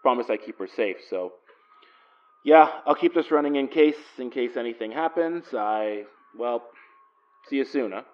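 A man's voice: medium pitch, expressive, normal speed, recorded from a distance, close to an everyday voice. An actor playing a weary, sardonic male investigator, grumbling dryly in an audio drama monologue. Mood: uneasy, resolute. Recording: muffled, voice away from the mic.

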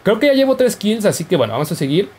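A man's voice: sits high, very animated, fast, darkish tone, slight background noise. A young man giving casual, joking commentary over his gameplay. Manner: playful, jokey, yelps and laughs while playing. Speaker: gaming YouTuber.

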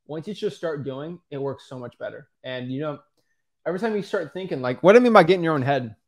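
A man's voice: a little high, animated, fast talker. Rambling young man, studio mic. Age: young adult. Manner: rambling, filler-heavy, addresses listeners as guys. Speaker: co-host. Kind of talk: casual podcast coaching talk. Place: studio with backdrop. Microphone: studio mic on boom arm.

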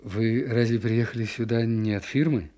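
An actor playing a kindly, honest Russian official in a radio drama. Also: male voice, fairly low pitch, fairly even intonation, moderate pace, warm tone, close to an everyday voice.

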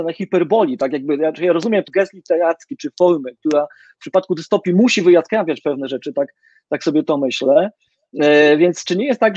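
A man's voice: high-pitched, darkish tone, no room sound. A middle-aged man interviewing a guest over a video call, hesitant with many fillers and restarts. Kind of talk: hesitant literary interview questions. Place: home room with bookshelves. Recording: dull lo-fi video call audio.

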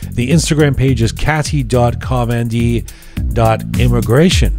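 A man's voice: mid-range voice, unhurried, over music. A man hosting in a studio, with a wry, teasing manner. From Canada. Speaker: show host. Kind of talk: casual, joking studio chat.

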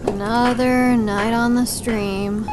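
An actress playing a tough, battle-worn young demon-hunting streamer in an audio drama. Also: female voice, on the high side, steady tone, slow. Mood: grieving, weary. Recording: clear noise behind voice.

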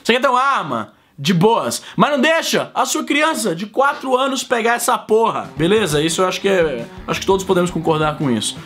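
Animated, sniffly Brazilian guy ranting. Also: sits high, brisk, soft background music. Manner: animated, profane, slangy, big hand gestures. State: sick with a cold, coughing. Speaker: vlogger host. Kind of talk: casual ranting vlog. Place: home room with shelves of collectibles. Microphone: fixed camera, close framing.

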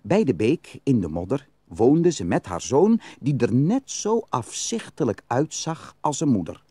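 A man narrating a fairytale as a storyteller in an expressive, scripted style. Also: medium pitch, measured pace, breathy edge, no room sound. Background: music.

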